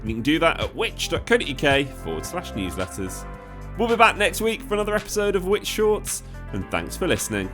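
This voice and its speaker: A man's voice: a little high, huge pitch swings, brisk, a bit bright, soft background music. A man, the show's producer, reading the closing announcements of a podcast in an upbeat way. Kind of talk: scripted podcast outro.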